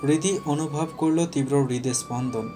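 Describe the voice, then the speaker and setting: A man's voice: mid-range voice, fairly quick, over music. A man narrating a scripted romantic audio story.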